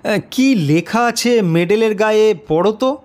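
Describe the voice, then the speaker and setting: A stern schoolmaster, played by a male storyteller speaks to his class with a raised pitch. He scolds and questions his pupils with brisk authority and curiosity, in an audio-story manner. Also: animated, darkish tone.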